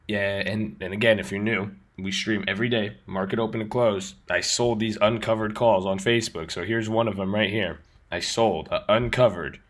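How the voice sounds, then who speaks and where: A raspy-voiced man hosts a casual trading walkthrough, recovering from being sick. His tone is bright and forceful despite the rasp. He rambles, restarts and repeats phrases as he explains. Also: fairly low pitch. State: recovering from illness. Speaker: trading channel host. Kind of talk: casual screen-share explanation.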